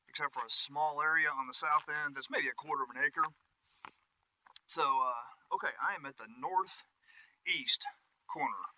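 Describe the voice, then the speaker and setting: A man's voice: medium pitch, bright, forceful tone, a little hiss or hum, low-quality recording. A man giving a casual, folksy, conversational tour of his property outdoors, as a vlog host. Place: outdoors on wooded rural land. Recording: music behind the voice.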